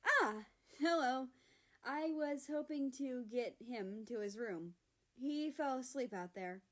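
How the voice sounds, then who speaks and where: A woman voicing a small, gentle healer from a storybook, speaking in a soft and friendly manner. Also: sits high, lively intonation, takes it slowly, pitched above the performer's own voice, heavily acted. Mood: quiet, polite.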